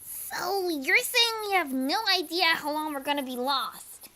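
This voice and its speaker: A woman voicing an excitable, snarky and nervous young pony filly in a fan audio drama. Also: high voice, unhurried, full character voice.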